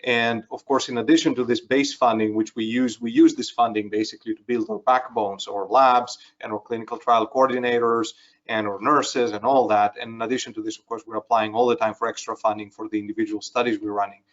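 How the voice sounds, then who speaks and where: A man's voice: medium pitch, flat delivery, fairly quick. A man from Greece speaks hesitantly on an online panel, stumbling over his first words. His mid-range voice comes through dulled, with the narrow sound of a video call. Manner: repeats words when starting, hesitant. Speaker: research center panelist. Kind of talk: panel discussion. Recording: muffled video call audio.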